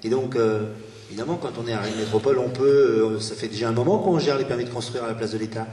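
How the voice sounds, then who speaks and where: A man's voice: mid-range voice, mellow. Echoey, distant Frenchman giving a speech. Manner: rhetorical questions, hesitant pauses. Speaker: local elected official. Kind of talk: political speech at a public meeting. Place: large reverberant meeting room. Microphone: distant microphone. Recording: echoey hall, distant mic, faint noise.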